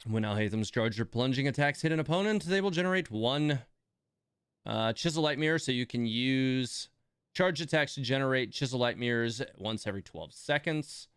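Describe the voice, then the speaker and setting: Smooth, dry-sounding male game streamer. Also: medium pitch, animated, normal speed, fairly bright tone, dry recording. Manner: thinking aloud, reading game text with asides. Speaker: livestream host. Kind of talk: casual gaming livestream commentary.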